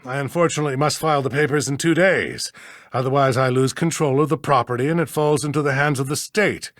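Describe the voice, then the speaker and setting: A man's voice: mid-range voice, quick pace, dry recording, studio quality, close to an everyday voice. An actor playing a flustered, courteous older judge in a mystery game, fussy and hesitant in his speech. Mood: earnest, worried. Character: flustered older male small-town judge, evasive, courteous. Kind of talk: scripted mystery game dialogue.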